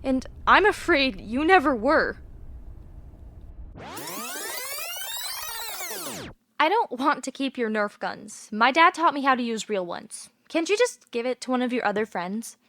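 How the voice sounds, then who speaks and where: A woman's voice: high voice, sing-song, normal speed, few pauses, a bit bright, slight background noise. An actress playing a caring young woman, frank and full of questions, in an audio play. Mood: concerned, pressing. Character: frank, caring young woman, the troubled man's childhood friend.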